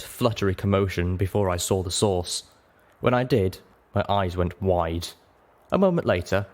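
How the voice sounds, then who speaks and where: Deep-voiced man narrating a story. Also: a little mellow. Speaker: audiobook narrator. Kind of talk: first-person dramatic scripted narration.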